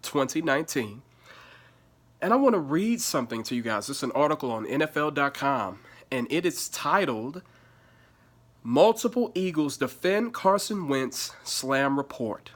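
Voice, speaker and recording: A man's voice: a little high, lively intonation, a little slow. Blunt, profane man with a stuffy voice. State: stuffy with allergies. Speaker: sports commentary vlogger. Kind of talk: casual opinionated commentary reading an article.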